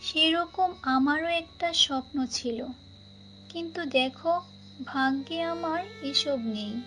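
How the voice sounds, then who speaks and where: Woman acting a high-pitched plaintive young wife. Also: slow pace, fairly bright tone. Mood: plaintive, resigned. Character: wronged young wife, gentle, resigned, trusting. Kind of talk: dramatised cartoon fairy-tale dialogue. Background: background music.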